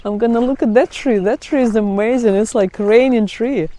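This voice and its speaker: A woman's voice: a little high, normal speed, mellow. A middle-aged woman with a Russian accent, chatting casually in a vlog, often laughing and phrasing things like a non-native speaker. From Kazakhstan. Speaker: vlogger. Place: outdoors by trees in melting ice.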